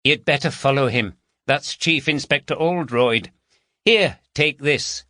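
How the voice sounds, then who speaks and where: A man voicing a brisk, friendly female receptionist. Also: medium pitch, bright, forceful tone, no echo. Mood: helpful.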